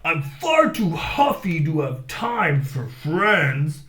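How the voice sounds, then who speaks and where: A woman voicing a grumpy, huffy male buffalo in a read-aloud storybook, shouting and blustering. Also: low-pitched, big pitch swings, slow, full character voice. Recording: echoey room.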